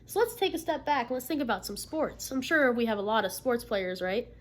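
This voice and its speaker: A woman reading a picture book aloud to children like a teacher, expressively, pausing to put encouraging questions to her listeners. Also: on the high side, lively intonation. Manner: encouraging, checks understanding with frequent questions. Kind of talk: interactive storybook read-aloud with questions to the listeners.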